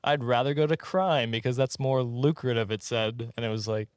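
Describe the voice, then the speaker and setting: Male comedy podcast host. Informal storyteller, amused and jokey, mid-pitched voice, tight dry sound. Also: steady tone, moderate pace, no room sound.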